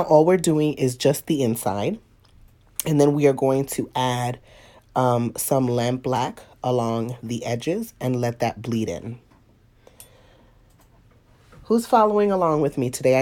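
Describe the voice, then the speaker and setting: Woman, stuffy-nosed painting teacher, mellow tone, easygoing and chatty with viewers. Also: a bass voice. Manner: chatty, laughs often, talks to viewers. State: stuffy nose, sniffly. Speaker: watercolor painting instructor. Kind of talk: casual live tutorial talk.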